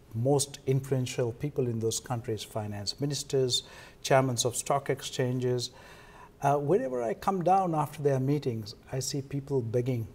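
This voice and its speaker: Man, Sri Lankan accent, dark relaxed timbre, medium pitch, halting delivery, televised interview guest. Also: breathy edge. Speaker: interview guest, foundation co-founder. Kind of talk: televised interview, explanatory talk.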